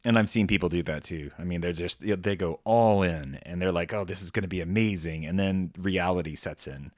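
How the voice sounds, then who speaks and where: A low-pitched man hosts a relaxed interview, asking rambling questions and nodding along with quick agreement. The sound is dry and close. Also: no room sound. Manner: affable, agreeable, lots of backchannel. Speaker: podcast host. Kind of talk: casual interview chat.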